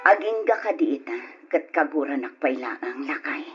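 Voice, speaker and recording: A woman acting a weary, caring mother and long-suffering wife with a husky voice in an old Ilocano radio drama. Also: rumbling low, lively intonation, moderate pace, lo-fi sound, music in the background. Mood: pleading.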